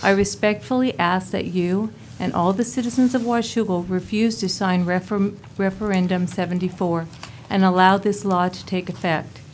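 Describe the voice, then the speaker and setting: A woman's voice: mid-range voice, fairly even intonation, moderate pace. A woman making a prepared statement during public comment, earnest and respectful. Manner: earnest, respectful, read-aloud. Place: council chamber. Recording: faint room noise in a council chamber.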